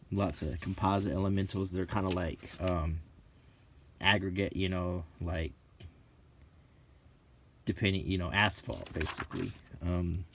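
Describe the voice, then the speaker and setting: Man, middle-aged, deep voice, unhurried halting delivery, casual commentary, dry humour, faint background noise. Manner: unhurried, halting, dryly joking. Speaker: hobbyist video presenter. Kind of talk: casual rambling commentary while browsing a book.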